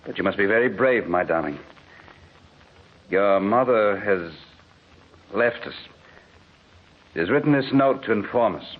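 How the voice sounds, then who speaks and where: A bitter, tender father in a 1940s radio melodrama. His low, husky voice is solemn and measured. The recording sounds muffled. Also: expressive. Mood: mournful. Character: grave, possessive father, tenderly mournful and bitter. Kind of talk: 1940s radio drama acting.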